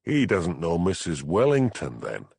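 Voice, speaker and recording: A man reading an audiobook, voicing a calm, plain-spoken village policeman with a Scottish Highland accent. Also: a little slow, creaky, husky, dry recording, heavily acted.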